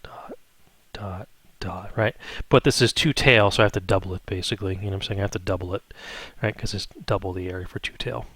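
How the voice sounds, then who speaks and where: A man with a fairly low voice leads an informal step-by-step tutorial. He thinks aloud as he works and keeps checking in with little questions. The sound is dry, with very little room on it. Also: brisk, bright, forceful tone, slightly rough, dry recording. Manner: thinks aloud, frequent check-in tags like "right?". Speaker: math instructor. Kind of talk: improvised step-by-step spreadsheet tutorial.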